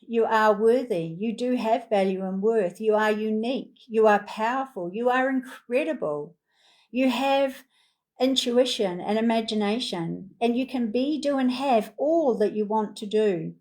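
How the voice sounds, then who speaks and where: A woman guides a healing meditation in a soothing, unhurried voice. Her pitch sits in an ordinary middle range, and she moves through phrase after phrase of gentle repeated affirmations.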